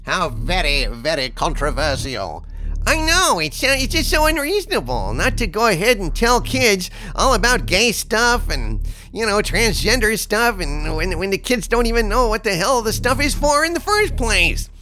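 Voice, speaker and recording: A man's voice: high voice, sing-song, normal speed, bright, forceful tone, a little hiss or hum, heavily acted, low-quality recording. A man voicing a sarcastic, folksy tentacled monster sidekick on a commentary show. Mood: mock outrage.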